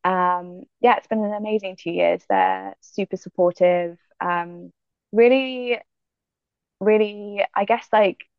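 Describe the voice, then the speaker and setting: A young woman athlete from Jamaica, answering interview questions in a relaxed, thoughtful way with many fillers. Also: medium pitch, slow pace, smooth, no room sound, lo-fi sound. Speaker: guest athlete.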